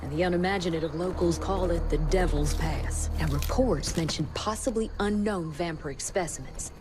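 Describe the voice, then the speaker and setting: A woman plays an assured woman doctor in command, in a game cutscene. Her voice is on the low side, measured and formal, with music playing behind her. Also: lightly acted. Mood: calm, informative. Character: composed, authoritative woman doctor leading an agency. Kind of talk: video game cutscene acting.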